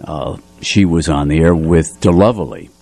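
A middle-aged man chatting casually as a community radio host, pausing to recall details as he reminisces. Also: a bass voice, lively intonation, dark tone, no room sound. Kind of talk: casual studio conversation. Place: radio studio, dry sound.

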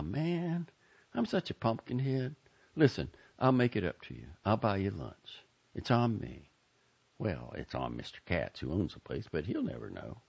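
A man's voice: mid-range voice, vocal fry, a little mellow, slightly rough, lo-fi sound, pitched above the performer's own voice, full character voice. A man voicing a nervous teenage boy working a counter, talking in a strained, flustered way.

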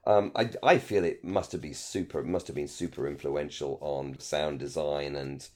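A man with a low-pitched voice chats as a podcast co-host. He is appreciative and emphatic, circling back to repeat his phrases as he casually talks things over. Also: moderate pace, a little mellow. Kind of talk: casual discussion of a radio drama.

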